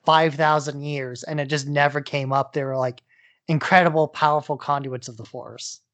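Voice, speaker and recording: A man's voice: a little high, steady tone, normal speed, fairly bright tone. A man co-hosting a podcast, talking in a casual, offhand, opinionated way. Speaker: podcast co-host.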